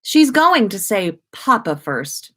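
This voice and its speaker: A woman's voice: mid-range voice, very animated, slow, fairly bright tone, pitched above the performer's own voice, heavily acted. A doting young father from a storybook, read by a woman. He is playful and teasing with his little girl, and he takes his time over his words.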